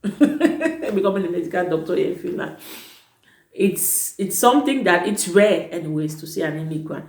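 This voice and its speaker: A woman's voice: lowish voice, animated, moderate pace, a little mellow. Chatty woman vlogger in an echoey room. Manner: chatty, emphatic, often corrects herself mid-sentence. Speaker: vlogger advising prospective students; teacher at an international school. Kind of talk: casual advice vlog.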